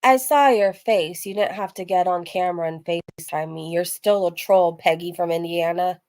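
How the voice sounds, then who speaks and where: A woman's voice: on the low side, normal speed, a little mellow, dry recording. A woman hosting a reaction stream, talking casually to her viewers in a teasing, mocking manner. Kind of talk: casual commentary to live viewers.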